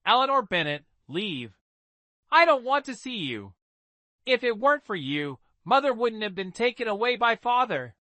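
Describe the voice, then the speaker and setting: A man reading a story and voicing a petulant, scheming young noble girl who shouts angrily and then turns falsely sweet. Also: very animated, moderate pace, no room sound, full character voice. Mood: resentful. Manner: shrill angry outbursts.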